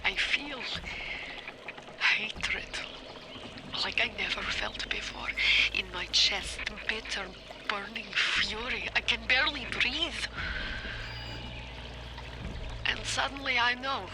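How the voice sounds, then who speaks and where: A woman playing a cold, troubled middle-aged scientist recording a measured audio diary for a video game. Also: a little high, background noise. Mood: anguished, bitter. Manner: measured, clinical, brooding.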